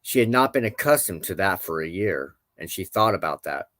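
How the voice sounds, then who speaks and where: A man's voice: low-pitched, expressive, normal speed, a bit bright, no room sound, clean studio sound. A man narrating an old legend aloud as a storyteller. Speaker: host, storyteller.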